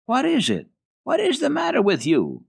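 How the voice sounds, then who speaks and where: Man acting stern, shrill-pitched scolding governess. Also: huge pitch swings, moderate pace, gravelly, husky, exaggerated voice. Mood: indignant. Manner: indignant, exclamatory, commanding. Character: stern, scolding governess, easily outraged woman. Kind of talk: dramatic children's story reading.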